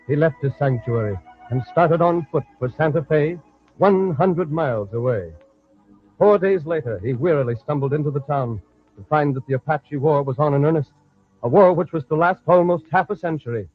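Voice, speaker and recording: An actor serving as the solemn narrator of an old-time radio western, giving dramatic scripted storytelling. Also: male voice, fairly high pitch, moderate pace, soft background music, natural voice. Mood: suspenseful. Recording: dull old radio recording.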